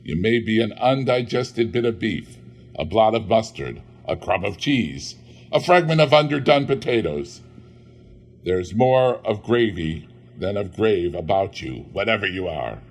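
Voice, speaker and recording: A man voicing a cold, sharp-tongued old miser, speaking loudly and nervously. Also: on the low side, big pitch swings, a little slow, exaggerated voice. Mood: defiant. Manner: caustic, raised, nervy. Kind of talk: audiobook character voice.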